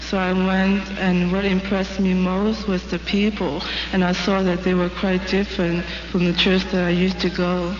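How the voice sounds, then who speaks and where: Flat-voiced woman interviewed in a hall. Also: on the low side, moderate pace, few pauses. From North China, raised in Hong Kong. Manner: hesitant, plain storytelling with fillers. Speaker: interviewee giving her experience. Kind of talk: stage interview. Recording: dull, hissy recording in an echoey hall.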